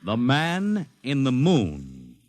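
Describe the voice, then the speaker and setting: A man's voice: mid-range voice, wildly swooping pitch, very slow. Dramatic male radio announcer over music. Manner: grand, portentous.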